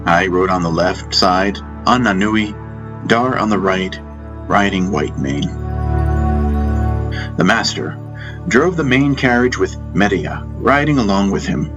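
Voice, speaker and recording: A man's voice: low voice, animated, measured pace, long pauses. A man reading a first-person fantasy story as scripted audiobook narration. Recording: music bed behind narration. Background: background music.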